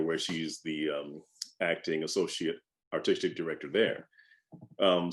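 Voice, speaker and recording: A middle-aged man with a deep, low voice gives an interview as an actor. He talks in a measured, reflective way, as in a personal testimonial.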